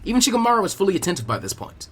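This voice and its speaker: A man narrating a fan fiction story as a scripted, expressive reading. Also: sits high, very fast, breathy edge. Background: background music.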